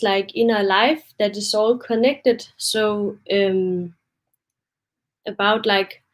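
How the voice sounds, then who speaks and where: A young Danish woman presenting her photo project on a video call, hesitant and a bit nervous, with many fillers. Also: medium pitch. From Denmark. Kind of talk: webinar presentation.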